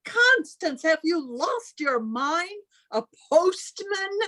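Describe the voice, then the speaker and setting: A commanding, graying elder sister from an old radio drama, her high voice measured and reproachful. She speaks formally and possessively, in a very dry, close sound. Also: very animated, sharp tone, dry recording, exaggerated voice. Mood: reproachful, indignant.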